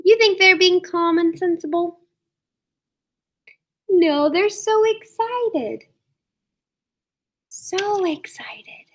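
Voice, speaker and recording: High-voiced woman reading slowly to young children. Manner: expressive, asks listeners questions, drawn-out emphasis. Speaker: first grade teacher reading to children. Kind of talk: read-aloud storytelling for young children.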